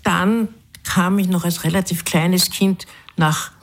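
A woman, a guest in an interview, born in London with English as her first language and raised in Austria, answering reflectively with frequent pauses and restarts. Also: lowish voice, fairly even intonation. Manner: thoughtful, hesitant, many restarts and fillers.

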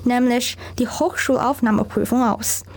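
A woman's voice: on the high side, fairly even intonation, moderate pace. A young woman, a student presenter carefully reading a prepared report with small restarts.

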